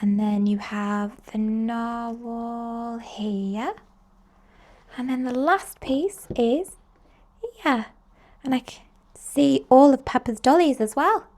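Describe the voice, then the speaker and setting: A woman reading picture books aloud to young children in an expressive, slow storytelling manner. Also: on the high side. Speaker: children's storybook reader and host.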